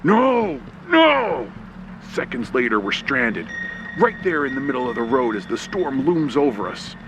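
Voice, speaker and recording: A man's voice: mid-range voice, animated, moderate pace, background noise. A man narrating a scripted first-person horror story dramatically in old radio play style, acting out urgent, frightened moments. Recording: loud music behind the voice.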